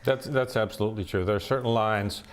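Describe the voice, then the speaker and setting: A man's voice: fairly low pitch, quick pace. An American man, a stage actor, speaking thoughtfully in an interview and repeating words as he starts his sentences. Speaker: actor in a community theater play. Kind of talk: casual interview chat.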